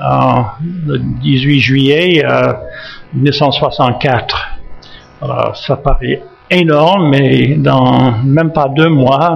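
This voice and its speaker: A man in his seventies from Massachusetts with an American accent, a retired missionary pastor speaking French with many fillers and restarts and gentle jokes. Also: medium pitch, vocal fry, muffled recording. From United States, Massachusetts. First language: English. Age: 70s. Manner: halting, frequent fillers and restarts, gently joking. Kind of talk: church talk introducing himself.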